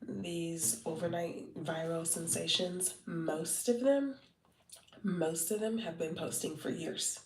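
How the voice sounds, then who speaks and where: A woman's voice: on the low side, takes it slowly, noisy background. Congested woman rambling on a late stream. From Southern California. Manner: rambling, many fillers and restarts. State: congested and wheezy. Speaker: content marketing livestreamer. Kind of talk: casual late-night livestream chat. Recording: roomy, distant from the mic.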